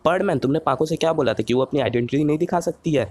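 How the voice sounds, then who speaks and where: A man playing an earnest, excitable boy superhero in a cartoon dub, pleading and repeating himself when flustered. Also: a little high, very fast, dry recording, natural voice. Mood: upset.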